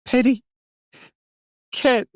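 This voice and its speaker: A man's voice: sits high, measured pace, dry recording, studio quality, voice pushed up. A man voicing a frightened, bewildered little girl in an audiobook story, hesitant and faltering. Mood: sobbing.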